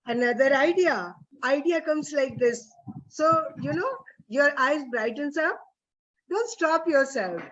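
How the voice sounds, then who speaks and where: A woman's voice: sits high, lively intonation, measured pace, muffled recording. A woman coaching a reader's theatre session, polite and encouraging, with many hesitant restarts. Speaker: reading workshop facilitator.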